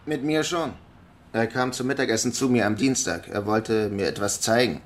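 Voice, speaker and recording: Man acting as blunt witness, average pitch, terse, emphatic, radio crime play. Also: moderate pace, lightly acted. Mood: matter-of-fact. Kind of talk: radio drama dialogue.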